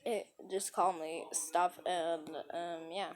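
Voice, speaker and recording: A woman's voice: lowish voice, fairly even intonation, takes it slowly. A woman casually telling an improvised story, rambling and repeating phrases as she dramatically retells who said what. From New York City, United States. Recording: noisy amateur home recording.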